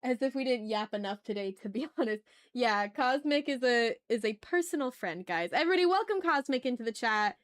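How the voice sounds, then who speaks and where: A woman's voice: fairly high pitch, lively intonation, a little fast, hardly any pauses, dry recording, studio quality. A woman chatting casually and playfully with her viewers as she hosts a live art stream. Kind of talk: casual livestream chat while drawing.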